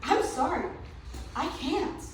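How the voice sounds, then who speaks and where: A woman's voice: sits high, animated, slow pace. A woman playing a regretful but firm young sweetheart in a student readers theatre piece. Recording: echoey room recorded from far off, faint noise.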